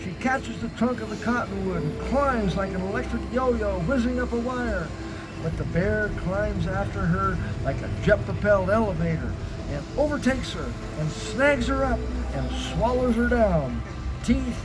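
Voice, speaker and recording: A man's voice: expressive, moderate pace. A man narrating a tall animal tale as live storytelling, in a scripted performance. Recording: noisy outdoor live recording, traffic rumble.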